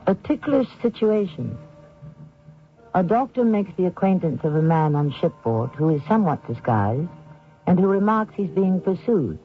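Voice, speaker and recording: A man hosting a radio drama, giving scripted narration in a deliberate, theatrical manner. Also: high-pitched, very animated. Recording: tinny old radio broadcast. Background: music.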